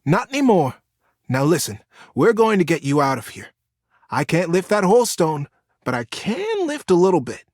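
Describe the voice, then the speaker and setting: A man's voice: sits high, wildly swooping pitch, moderate pace, darkish tone, dry recording, studio quality, voice pushed up, full character voice. A man voicing a tiny, brave young female octopus in a storybook, earnest, reassuring and determined. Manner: urgent, reassuring, plucky.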